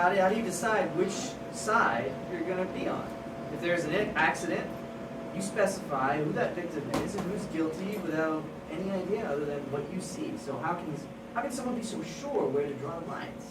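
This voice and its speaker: A woman reading the part of a curious, probing male stranger in his late thirties, halting as they talk in a live table read. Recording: roomy, distant mic, loud rumbling noise.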